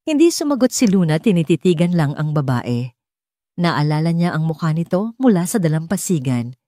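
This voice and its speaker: Low, mellow woman audiobook narrator. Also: sing-song, normal speed, no echo, studio quality. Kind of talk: scripted romance story narration.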